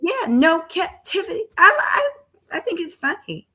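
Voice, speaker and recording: A woman's voice: extremely high pitch, sing-song, measured pace, pushed and bright. A woman in her forties hosting a live stream, chatting casually with frequent restarts and repeated words. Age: 40s. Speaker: live-stream show host.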